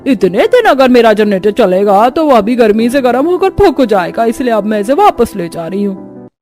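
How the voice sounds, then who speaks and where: Selfish, swooping neighbourhood auntie acted out. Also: female voice, fairly high pitch, very animated, normal speed, a little mellow, faint music behind. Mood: curt, unapologetic. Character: selfish, stubborn older neighbour woman. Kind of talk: Hindi animated story dialogue.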